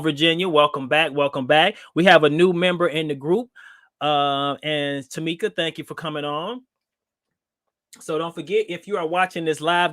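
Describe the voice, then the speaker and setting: A man hosting a live online talk show, chatting loosely with plenty of ums and restarts. He sounds a little stuffed up from a cold, and his voice sits somewhat high. The sound is close and dry, with no room echo. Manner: relaxed, with frequent fillers and restarts. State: nasal from a cold. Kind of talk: casual live-stream talk.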